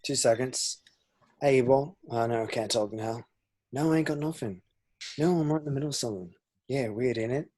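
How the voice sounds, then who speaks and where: A man's voice: medium pitch, brisk, dark tone, close to an everyday voice. A man reading the part of a flippant, unbothered slacker in an amateur comedy table read. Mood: nonchalant.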